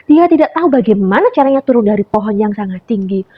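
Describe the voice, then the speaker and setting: A young woman telling a story to preschool children in an animated way, often turning to her listeners. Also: mid-range voice, fast talker, husky. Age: young adult. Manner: animated, calls listeners "friends". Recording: dull lo-fi recording.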